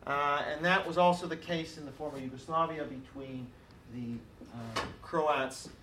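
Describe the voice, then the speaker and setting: A man's voice: a little high, steady tone, normal speed. A man giving a university lecture in a conversational way, thinking aloud with fillers and restarts. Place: lecture room. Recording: roomy hall recording from far off, faint hiss.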